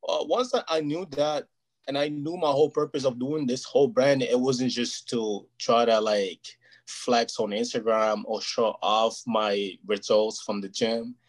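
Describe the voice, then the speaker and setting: A man's voice: medium pitch, fairly even intonation, unhurried. A man whose first language is Portuguese, talking earnestly and at length as an interview guest, often checking "you know what I mean". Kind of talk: casual interview chat.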